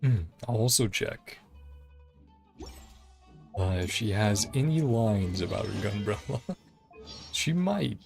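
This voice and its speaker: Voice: medium pitch, lively intonation, slow pace, gravelly, pauses often, a little mellow. A game livestream host chatting casually in a giggly, hesitant, rambling way. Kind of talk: casual game stream chat. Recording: game music behind the voice. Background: video game music.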